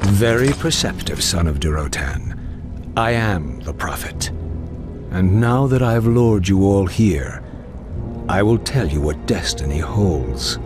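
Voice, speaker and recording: A man's voice: low voice, animated, takes it slowly, over music. An actor playing a stern, mysterious male prophet in a video game, speaking with grave, portentous, theatrical weight. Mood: commanding.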